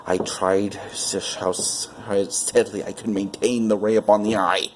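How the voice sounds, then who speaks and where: A man's voice: flat delivery, normal speed, close to an everyday voice. A man performing a dramatic reading as an obsessive, nervous murderer in a gothic horror story, theatrical and intense. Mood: tense, agitated. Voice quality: low, creaky. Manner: theatrical, breathy whispers swelling into agitated outbursts. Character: unhinged obsessive murderer confessing, nervous, furious, gloating. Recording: noisy amateur home recording.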